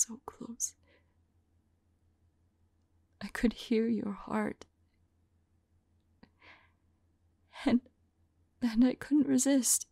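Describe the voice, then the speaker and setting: A woman playing a remorseful, lovelorn female vampire in an ASMR roleplay, whispering softly and tenderly in a halting, intimate monologue. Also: fairly high pitch, dry recording, studio quality, exaggerated voice. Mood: anguished. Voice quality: soft, breathy whisper. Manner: slow, halting, tender delivery.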